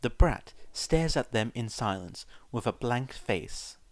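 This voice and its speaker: A man's voice: medium pitch, animated, takes it slowly, dry recording. A man reading a fan fiction story aloud as its narrator, in scripted narration.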